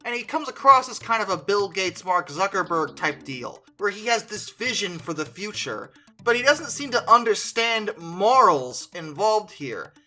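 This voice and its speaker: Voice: medium pitch, big pitch swings, normal speed, bright, forceful tone, faint music behind. A reviewer giving opinionated movie commentary in a conversational, emphatic way. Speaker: video essayist.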